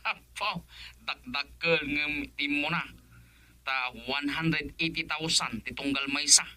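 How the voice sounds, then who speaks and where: A man's voice: fairly high pitch, slow, pushed and bright, full character voice. A man playing a cheerful, laughing friend who calls with good news in a radio drama. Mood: upbeat. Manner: laughing, upbeat.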